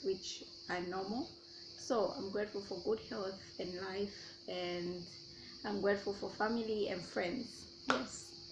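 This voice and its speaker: A woman's voice: medium pitch, background noise. A young Zambian woman with a Zambian accent, chatting casually as she answers her own questions in a vlog. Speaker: YouTuber.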